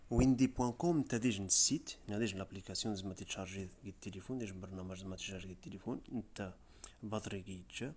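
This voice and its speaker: Riffian man, lowish voice, quick informal explainer narration, tutorial voice-over. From Rif region of Morocco. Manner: brisk, informal, step-by-step explaining. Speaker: tutorial presenter. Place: voice-over, not the pictured scenes.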